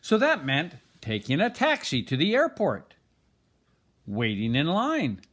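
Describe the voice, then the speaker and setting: An older man reading a children's picture book aloud, expressively and deliberately, with dramatic pauses. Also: on the high side, huge pitch swings, a bit bright. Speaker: storybook reader.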